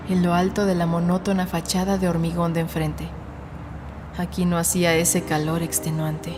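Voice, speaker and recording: A woman with a slightly low voice narrates a novel in a measured, suspenseful way. Music plays clearly behind her studio narration. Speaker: audiobook narrator. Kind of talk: scripted dramatic narration of a novel. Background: background music.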